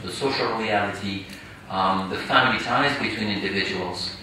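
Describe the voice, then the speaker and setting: Male panelist, low voice, halting with fillers, distant mic in an echoey noisy hall. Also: bright, forceful tone. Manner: hesitant, many fillers and restarts. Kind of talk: conference panel discussion. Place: large conference hall.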